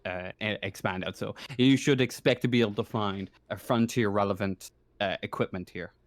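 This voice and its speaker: A man's voice: lowish voice, dry recording. A man running a tabletop game as game master, improvising and stammering as he rephrases himself. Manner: halting, stammering, rephrasing often.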